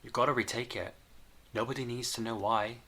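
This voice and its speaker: A man's voice: medium pitch, steady tone, a little fast, sharp tone. A man playing a curious, teasing young companion of a time traveller in an audio drama, casual and questioning. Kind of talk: fan-made audio drama acting. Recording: clear background noise, ambience.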